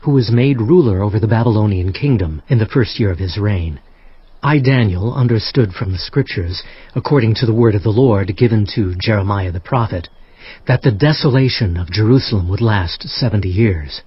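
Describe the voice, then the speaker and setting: An actor playing an earnest, solemn prophet, narrating dramatized scripture expressively. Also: male voice, fairly low pitch, moderate pace, breathy edge. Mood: penitent, earnest. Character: prophet Daniel, earnest solemn man recounting visions. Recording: dull audio under background music.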